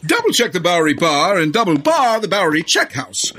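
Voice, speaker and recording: Pompous police commissioner, wildly swooping pitch, bright forceful voice, hearty exclamations, comic audio drama. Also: very animated, a little fast. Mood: brisk, commanding.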